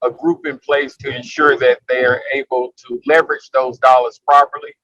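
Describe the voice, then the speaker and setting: A man putting careful, deliberately worded questions as a participant in a panel conversation. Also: medium pitch, little pitch movement, fairly bright tone, no echo, muffled recording.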